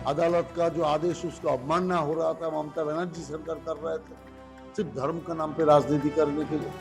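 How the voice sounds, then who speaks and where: A man's voice: a little high, flat delivery, moderate pace, vocal fry. A man with a Bengali accent giving a political sound bite for the news, in short phrases. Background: music under the voice.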